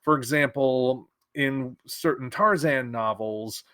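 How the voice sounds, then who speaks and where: Male online commentator, middle pitch, dry close recording, casual musing delivery with restarts. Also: takes it slowly, bright, forceful tone, dry recording. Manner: musing, thinks aloud with restarts and fillers. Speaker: sci-fi news commentator.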